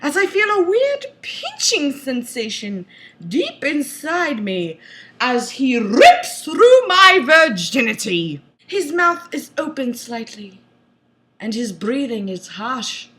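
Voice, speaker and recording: A woman's voice: high voice, sing-song, slow, fairly bright tone. A woman narrating a novel as a comedic mock-dramatic reading, with theatrical, exaggerated emphasis.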